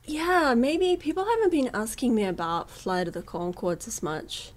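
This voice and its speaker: A woman's voice: a little high, moderate pace. Chatty woman with a slight New Zealand accent. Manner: chatty, laughs often, animated retelling. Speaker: guest musician. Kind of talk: casual interview chat.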